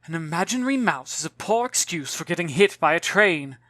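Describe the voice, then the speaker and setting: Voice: mid-range voice, expressive, a little fast, fairly bright tone, no room sound, lightly acted. Narrator playing scolding mother, worried, exasperated, emphatic, fussy, storybook reading, dry sound.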